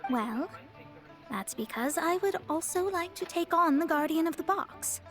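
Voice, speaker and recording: A woman's voice: very high-pitched, lively intonation, unhurried, a bit bright, faint music behind, exaggerated voice. A woman voicing a proud, haughty young female astrologer in a video game, formal and self-assured. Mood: explaining. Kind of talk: game voice acting.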